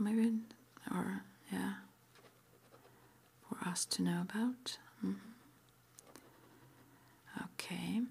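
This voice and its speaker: A woman whispering gently and hesitantly in an ASMR roleplay, as an interviewer asking intake questions in a breathy voice. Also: fairly low pitch. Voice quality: breathy whisper with some creak. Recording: faint background noise.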